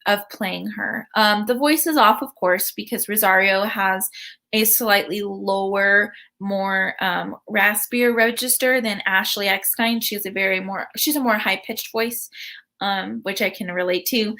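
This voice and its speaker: A woman hosting a casual commentary video, chatty and full of ums and restarts, with a voice she calls high-pitched. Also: fairly even intonation, a little slow, gravelly, sharp tone. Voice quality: says her voice is high-pitched. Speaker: host.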